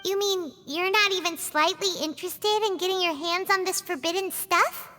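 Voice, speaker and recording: Squeaky, very high childlike fairy companion. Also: female voice, moderate pace, sharp tone. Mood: confused. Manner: speaks of herself in third person, exclamatory. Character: small childlike floating fairy companion, excitable and naive. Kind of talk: video game character dialogue. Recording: music behind the voice.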